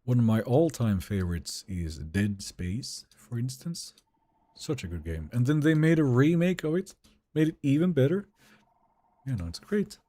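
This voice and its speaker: Swedish male streamer, easygoing and chatty, animated pitch, mellow tone. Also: normal speed. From Sweden. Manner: relaxed, chatty, answers chat questions. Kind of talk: live gameplay stream, chatting with viewers.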